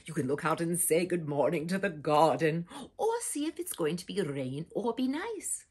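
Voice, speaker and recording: Husky, wildly expressive older woman storyteller. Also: sits low, moderate pace. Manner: dramatic, expressive, dropping into breathy whispers. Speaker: storyteller, host. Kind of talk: theatrical children's picture-book read-aloud. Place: small furnished room indoors with bookshelves.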